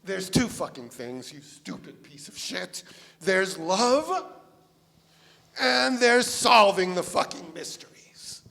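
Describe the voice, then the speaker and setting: Man acting irritable detective, high-pitched, exasperated, sweary, echoey noisy theatre recording. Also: lively intonation, takes it slowly, creaky, a bit bright, full character voice. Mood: exasperated anger. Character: irritable detective chef, secretly obsessed with cookbooks. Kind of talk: staged play reading. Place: live theatre stage.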